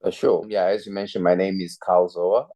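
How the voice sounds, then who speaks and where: A man's voice: sits low, flat delivery, a little fast, warm tone. A man, a youth pastor telling his story earnestly and reflectively as a podcast guest. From Zimbabwe. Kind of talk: podcast interview, personal story.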